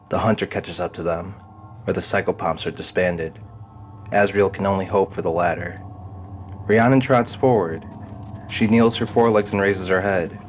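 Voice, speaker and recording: A man's voice: deep voice, slight background noise. A man reading scripted dramatic narration as the narrator of an audio drama. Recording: music behind the voice.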